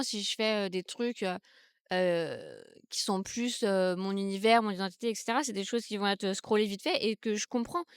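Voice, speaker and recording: A young woman from Brittany, France, speaks in a smooth, clear voice, thinking aloud and often pausing mid-sentence. The sound is close and dry, with no room around it. Her pitch sits in the middle for a woman. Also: no echo. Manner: thoughtful, hesitant, many fillers and restarts. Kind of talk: casual reflective vlog talk. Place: outdoors in the countryside, seated on a wooden stool.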